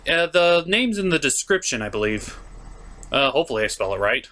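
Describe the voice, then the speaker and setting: A man's voice: fairly high pitch, sing-song, fairly quick, bright, forceful tone. A man hosting a comedic cartoon review, recapping the plot in a sarcastic, mock-dramatic and profane manner. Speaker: cartoon reviewer, show host.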